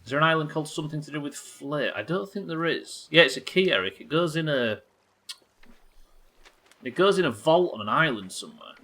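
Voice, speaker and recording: A man's voice: fairly high pitch, expressive, a little fast, pushed and bright. A man doing casual livestream game commentary, thinking aloud, questioning himself and swearing now and then. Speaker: live game streamer.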